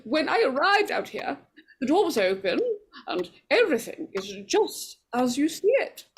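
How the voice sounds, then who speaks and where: Woman playing a high-voiced, nervous scheming woman. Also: big pitch swings, normal speed, slight background noise. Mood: scheming, uneasy. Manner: hesitant, stammering, repeats words. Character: nervous, pleading, scheming woman coveting a house. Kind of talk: 1940s radio horror acting.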